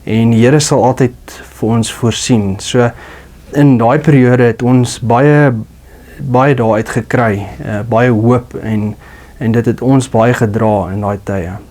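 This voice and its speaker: A man from Namibia speaks an earnest listener testimony. He is reflective and pauses to gather his thoughts, and his voice sits at an everyday middle pitch. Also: fairly even intonation, a little mellow. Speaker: radio listener giving a testimonial. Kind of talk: personal testimony.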